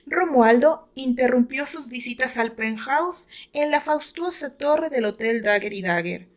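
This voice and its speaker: A woman with a Mexican accent reading her own novel aloud as scripted literary narration. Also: on the high side.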